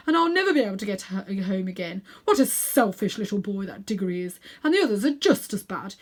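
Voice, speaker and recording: A woman voicing a selfish, cowardly old gentleman in a storybook, muttering with indignation and self-pity. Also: a little high. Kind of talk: storybook character voice.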